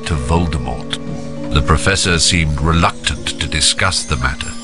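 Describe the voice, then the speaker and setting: A man narrating a wizard tale in a video game as a solemn, measured storyteller. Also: very deep voice. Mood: solemn, dramatic. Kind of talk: scripted narration. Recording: game narration under loud music.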